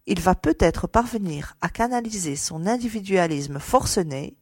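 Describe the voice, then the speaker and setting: A woman's voice: on the low side, lively intonation, normal speed, darkish tone, breathy edge, no room sound, clean studio sound. A woman playing a poised, modest space agent in a science fiction audio drama, articulate and lightly wry. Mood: wry, interested.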